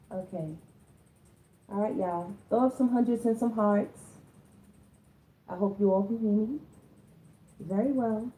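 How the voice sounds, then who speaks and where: A hoarse woman giving a casual tarot reading, chatting to her viewers and often stopping to clear her throat. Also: medium pitch, slow, long pauses, warm tone, slight background noise, low-quality recording. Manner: chatty, addresses listeners as y'all, stops to clear her throat. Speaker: tarot reader.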